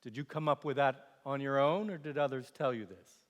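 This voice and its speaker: Holy prisoner for stage monologue, played by male storyteller: hushed, calm, grave, measured, in long hall reverb. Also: medium pitch, lo-fi sound, natural voice. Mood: calm, solemn. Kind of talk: quoted part in dramatic monologue.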